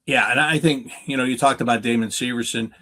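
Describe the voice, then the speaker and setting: A man with a North American accent and a mid-pitched voice talks sports off the cuff as a guest analyst. He is emphatic, asking questions and then answering them himself. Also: fairly even intonation, quick pace, a bit bright. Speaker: hockey play-by-play announcer as guest analyst. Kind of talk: unscripted sports talk, analysis.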